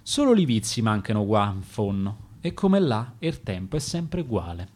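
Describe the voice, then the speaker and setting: Man reading a dead Roman pauper, relaxed, resigned tone. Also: lowish voice, normal speed, pitched below the performer's own voice. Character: cheerful dead poor man in mass grave.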